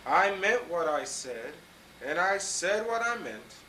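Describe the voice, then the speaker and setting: A patient, loyal elephant, as a man reads him speaks with slow, solemn steadiness. The voice stays bright and a bit high, with a storybook warmth of rhythm. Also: big pitch swings, echoey room, distant mic, slight background noise, heavily acted, rough recording. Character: faithful, patient, gentle male elephant. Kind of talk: storybook reading aloud.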